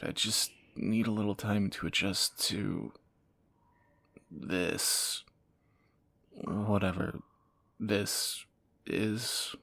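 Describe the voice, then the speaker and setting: A man playing a groggy fantasy assassin in an intimate romantic audio roleplay, husky and halting, with wry understatement. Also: lowish voice, pushed and bright, slight background noise, heavily acted. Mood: sleepy, sheepish. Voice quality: gravelly, husky morning voice. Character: groggy male fantasy assassin, softening captor, flustered and teasing.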